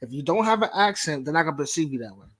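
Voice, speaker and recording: A first-generation American man of Nigerian descent joins a casual panel talk. He speaks in an animated way and often says "you know what I'm saying". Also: a little high, racing. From United States. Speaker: panel guest.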